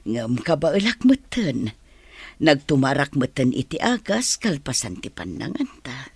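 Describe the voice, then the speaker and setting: Actress as slow, husky, ailing Filipino mother. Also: extremely low pitch, sing-song, dry recording, heavily acted. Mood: weary, sorrowful. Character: ailing, sorrowful middle-aged mother, often in pain. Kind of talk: Ilocano radio drama acting.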